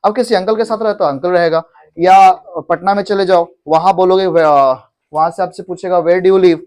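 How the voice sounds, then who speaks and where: A man teaching English in to a class, repeating each phrase slowly for students to echo. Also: high-pitched, steady tone, darkish tone. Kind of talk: classroom English lesson in Hindi.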